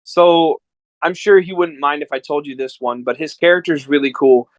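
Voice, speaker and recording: A man's voice: fairly high pitch, moderate pace, dry recording. A man chatting casually as a podcast guest, telling stories in a rambling, enthusiastic way. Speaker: podcast guest and amateur voice actor. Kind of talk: casual chat.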